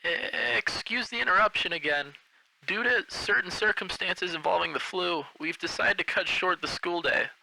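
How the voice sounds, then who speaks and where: Man putting on a bright, creaky school announcer. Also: high-pitched, moderate pace, no echo, voice pushed up, exaggerated voice, rough recording. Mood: formal, apologetic. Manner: formal, announcing. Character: polite school announcer over loudspeaker.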